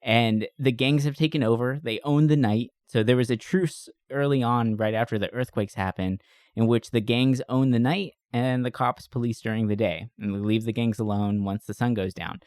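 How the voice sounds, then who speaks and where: A man's voice: medium pitch, moderate pace, a little mellow, no echo, clean studio sound. A man co-hosting a podcast, chatting informally and enthusiastically in a casual, slangy review style. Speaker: podcast co-host. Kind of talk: casual movie review chat.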